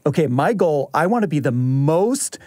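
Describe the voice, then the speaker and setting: A man's voice: a little high, big pitch swings, moderate pace, a little mellow, no room sound, clean studio sound. A man co-hosting a podcast, moving between conversational chat and scripted announcements. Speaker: podcast co-host. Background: music bed.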